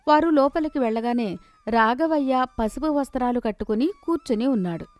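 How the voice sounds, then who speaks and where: A woman's voice: high voice, animated, a little fast, darkish tone, dry recording. A woman narrating a scripted moral story as its storyteller.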